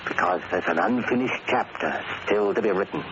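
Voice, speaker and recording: Actor as low-voiced, bitter ex-convict. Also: male voice, animated, brisk, lightly acted. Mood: resolute. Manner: brooding, dramatic. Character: weary, bitter middle-aged released convict. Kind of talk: 1940s radio acting. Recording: noisy, muffled old radio recording.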